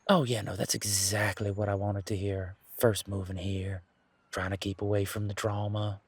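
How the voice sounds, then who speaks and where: A man playing a laid-back, wry werewolf in an intimate one-sided audio roleplay, talking in a casual, folksy, teasing way with a husky voice. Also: fairly low pitch, flat delivery, normal speed, no echo. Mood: gossipy, wry.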